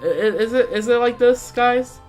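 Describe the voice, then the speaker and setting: A man doing casual live game commentary as a streamer, rambling and halting as he repeats words. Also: very high-pitched, a little mellow. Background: game music.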